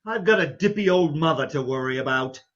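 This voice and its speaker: A man's voice: a little high, expressive, brisk, fairly bright tone, dull audio. A man playing a flustered, reluctant doctor who protests hesitantly in a stage farce. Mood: indignant, baffled. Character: flustered, reluctant mild male doctor, easily put upon. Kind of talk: stage farce readers theater acting.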